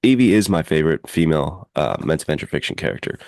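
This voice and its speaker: A man's voice: a bass voice, sing-song, fast talker, creaky, a little mellow, no echo, clean studio sound. A man chatting casually and enthusiastically as a podcast co-host, rambling informally through his opinions. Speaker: podcast co-host and adventure fiction writer.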